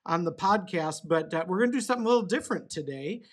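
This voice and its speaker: A man's voice: sits high, animated, quick pace. A man hosting a church podcast, welcoming his guest in a friendly way with frequent filler words. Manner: friendly, filler-heavy, says "uh" often. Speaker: podcast host.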